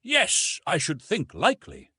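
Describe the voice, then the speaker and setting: A man voicing a patient, authoritative police official in a storybook, explaining things in a measured, formal, precise way. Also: sits high, wildly swooping pitch, pushed and bright, breathy edge, dry recording, studio quality, voice pushed up, exaggerated voice. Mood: instructive.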